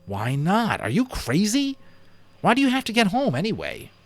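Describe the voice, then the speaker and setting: A cheeky young schoolboy in a storybook who refuses to believe his friend, his voice pitched well up as a man acts him out. He needles with quick, skeptical questions, and his delivery jumps all over the place. The recording is dry and close. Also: huge pitch swings, no room sound, heavily acted. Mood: pushy, disbelieving. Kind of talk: storybook character voice.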